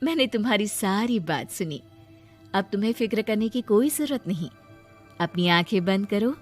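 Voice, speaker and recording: A woman's voice: medium pitch, expressive, moderate pace, close to an everyday voice. Woman voicing a kind little tree fairy, motherly, soothing, faint music.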